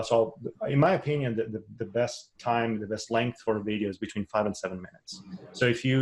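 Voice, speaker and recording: Man, non-native English speaker, low voice, webinar instructor, conversational, restarts and repeats. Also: a little mellow. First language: not English. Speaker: course instructor. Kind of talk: webinar lecture.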